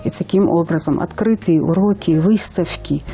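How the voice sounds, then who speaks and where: A woman's voice: on the low side, normal speed, vocal fry, dark tone, soft background music. A woman in her fifties from Ingushetia, a language teacher speaking earnestly as a guest on a TV morning show, with hesitant fillers. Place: TV studio.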